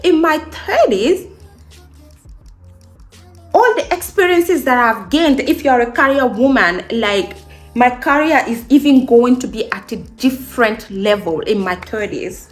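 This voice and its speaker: A woman in her late twenties from Guinea, a beauty vlogger chatting casually in an upbeat, emphatic way, repeating phrases to encourage her viewers. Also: fairly high pitch. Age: late 20s. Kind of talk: casual get-ready-with-me chat.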